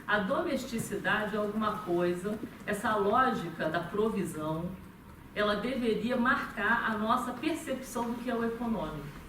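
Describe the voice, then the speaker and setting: A woman's voice: medium pitch, lively intonation, moderate pace, a bit bright, slight background noise. Brazilian woman talking at a meeting, lots of fillers, far off in an echoey room. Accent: Brazilian Portuguese. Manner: thoughtful, many hesitant fillers and restarts. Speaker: conference speaker. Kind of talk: academic talk at a meeting.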